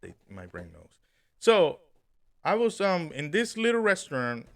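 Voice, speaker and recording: A young man co-hosting a podcast, telling a dream story in an animated way, laughing and acting out what people said. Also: sits high, measured pace. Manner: animated, laughing, acts out quotes. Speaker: podcast co-host. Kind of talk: casual chat, storytelling.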